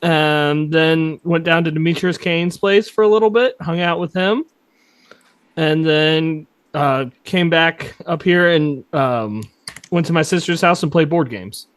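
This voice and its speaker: Chatty man telling a quick story. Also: a little high, a bit bright, smooth, no echo. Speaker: podcast guest. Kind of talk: casual chat.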